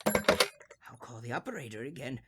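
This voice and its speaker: A man's voice: mid-range voice, expressive, moderate pace, heavy background noise, close to an everyday voice. An actor playing a crotchety, panicky old invalid man in an old radio thriller, stammering and fretful in a melodramatic way. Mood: fearful muttering. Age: older.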